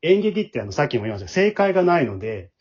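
Fast-talking, husky Kansai man. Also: a little high, sing-song, highs cut off. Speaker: amateur theatre director and podcast host. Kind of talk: casual podcast chat.

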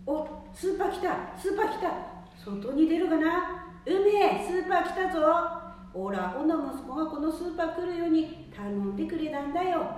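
A woman's voice: very high-pitched, very animated, normal speed, darkish tone. A woman playing a feisty, grumbling, forgetful old grandmother in an amateur radio drama, speaking Nanbu dialect of northern Tohoku. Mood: excited. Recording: very echoey room, distant mic, faint noise.

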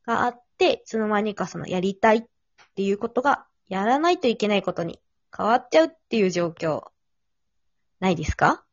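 A woman's voice: a little high, dry recording, lo-fi sound. A woman hosting a casual three-person chat in polite, conversational phrasing. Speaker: host.

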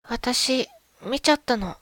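A woman acting a loving but jealous, suspicious young wife in an amateur radio drama, expressive and often talking to herself. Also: fairly high pitch, takes it slowly, dry recording. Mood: accusing. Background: music.

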